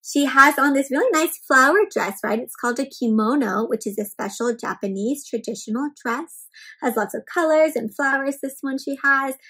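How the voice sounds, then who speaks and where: A young woman with a high voice hosts story time for little kids. She speaks in an animated, expressive way, pausing to ask the children questions as she reads. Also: breathy edge, poor audio. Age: young adult. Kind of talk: interactive read-aloud for young children. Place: small indoor room at home with bookshelves and plants.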